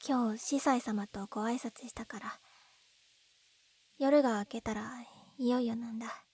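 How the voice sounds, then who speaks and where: Woman acting a high-voiced, lovesick young girl. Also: fairly even intonation, unhurried, dry recording. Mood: sad, resigned. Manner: soft, breathy, intimate, often trailing into whispers. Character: lovesick young woman, gentle, tearful, pleading with childhood friend. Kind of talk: Japanese ASMR-style situation voice roleplay. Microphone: close binaural dummy-head microphone. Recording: very dry, close binaural recording.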